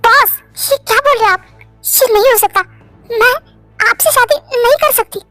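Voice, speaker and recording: A torn, anxious young mother who stammers and keeps apologizing, as a reader voices her. Her voice is very high and bright, with soft music playing underneath. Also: normal speed. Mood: tearful. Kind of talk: storybook drama reading.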